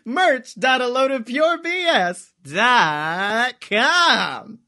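A man with a high, forceful voice chats casually as a podcast co-host. He is excitable and giggly, swears freely and spins comic stories. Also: very slow. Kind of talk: casual comedic chat and storytelling.

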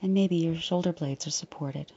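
Female meditation guide, low and mellow, soft breathy near-whisper, reassuring and unhurried. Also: highs cut off. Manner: soothing, unhurried, gently reassuring.

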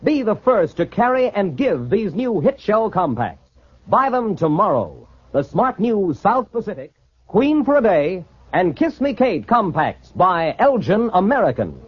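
High, animated male radio ad announcer. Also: measured pace, husky. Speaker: commercial announcer. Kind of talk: 1940s-50s radio advertisement read, upbeat sales pitch. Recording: dull old radio recording.

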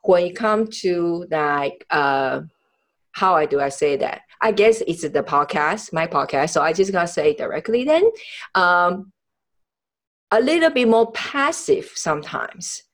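Female podcast host from Taiwan, lower register, conversational storyteller, halting non-native English with searching pauses. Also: expressive. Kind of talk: conversational interview, personal storytelling.

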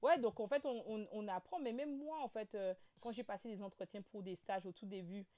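A woman in her thirties speaking French with a Guadeloupean accent, guesting in a relaxed interview. She tells her story in an animated way, stumbling through fillers and restarts as she goes. Her voice sits at a middling pitch. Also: fairly quick, lo-fi sound. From French overseas territory. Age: 30s. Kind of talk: casual interview chat.

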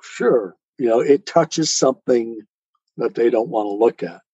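A man's voice: mid-range voice, expressive, measured pace, vocal fry, mellow, breathy edge, no room sound. A man answering as an interview guest in a conversational, earnest and explanatory way, on a lo-fi recording. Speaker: interview guest, poet and author. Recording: dull lo-fi sound.

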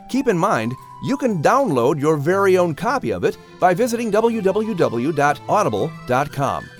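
A man reading scripted announcements and story narration expressively, like an audiobook narrator. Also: fairly high pitch.

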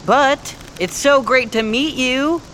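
A man's voice: very high voice, takes it slowly, bright, forceful tone, a little hiss or hum, heavily acted. A man playing a nervous, chatty young American bluffing as a thief in a fiction podcast, rambling and stammering as he tries to please. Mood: nervously flattering. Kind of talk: fiction podcast acting, casual modern speech.

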